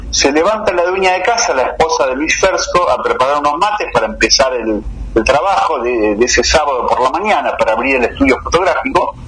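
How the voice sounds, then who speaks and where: A man in his fifties with a Rioplatense Argentine accent, a guest journalist telling local cases in a radio interview, an unhurried and detailed storyteller. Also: a little high, a bit bright, slight background noise, poor audio. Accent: Rioplatense Argentine Spanish. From Buenos Aires, Argentina.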